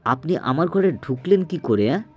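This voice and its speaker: Man acting terrified man, voice pitched up, stammering. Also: expressive, normal speed, dark tone. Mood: panicked. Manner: stammering, gasping short questions. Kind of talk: dramatic horror audio story.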